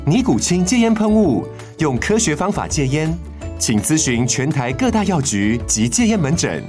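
A woman's voice: sits low, big pitch swings, moderate pace, a little mellow. A woman reading a scripted commercial as an advertisement announcer. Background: background music.

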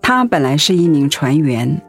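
A woman's voice: low voice, very animated, normal speed, soft background music. A woman acting a caring, polite middle-aged pastor's wife in a conversational radio drama style. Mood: polite, reflective.

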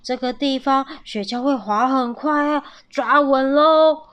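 Woman doing a high-voiced storybook squirrel dad. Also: moderate pace, lightly acted. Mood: cheerful. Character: playful squirrel dad, grumbling about cold, then hearty. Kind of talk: picture-book read-aloud character voice.

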